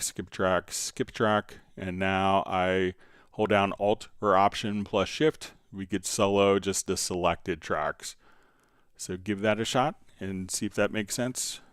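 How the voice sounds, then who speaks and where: Low-voiced man hosting a software tutorial. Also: smooth, no room sound, clean studio sound. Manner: conversational, step-by-step explaining. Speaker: livestream host, software instructor. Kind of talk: casual live tutorial, answering viewer questions.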